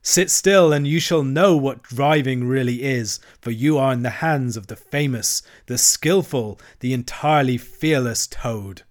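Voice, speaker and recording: A man's voice: medium pitch, drawn-out words, a little mellow. A man voicing a boastful, reckless male toad from a storybook, swaggering and gloating.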